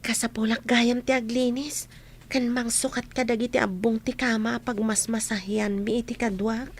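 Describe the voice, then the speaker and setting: A woman's voice: fairly high pitch, flat delivery, moderate pace. A woman with an Ilocano accent playing a hardworking, hopeful massage clinic owner, chatty and earnest, in comedy radio drama style. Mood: friendly, teasing.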